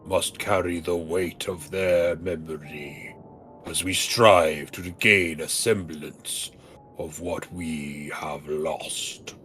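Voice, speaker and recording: Man voicing a very deep, solemn noble dragon. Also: fairly even intonation, a bit bright, faint music behind. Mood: grieving, grave. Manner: solemn, formal, measured storytelling delivery. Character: grave, noble, grieving great male dragon. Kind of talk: fantasy game dialogue read aloud.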